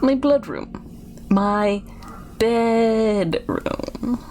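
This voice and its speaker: A woman's voice: on the high side, extremely slow, warm tone, faint music behind. Woman voicing a creepy, lisping male innkeeper. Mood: evasive, polite. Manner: lisping, oddly emphasized words. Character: creepy hunched male innkeeper with sinister politeness. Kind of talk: video game character read-aloud.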